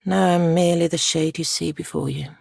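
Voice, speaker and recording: A woman voicing an ancient, weary spirit guardian in a video game, speaking softly in solemn, measured, formal archaic phrases. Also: lowish voice, steady tone, dry recording, clean studio sound, full character voice. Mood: grave, mournful. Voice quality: soft, breathy, creaky. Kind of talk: video game character dialogue.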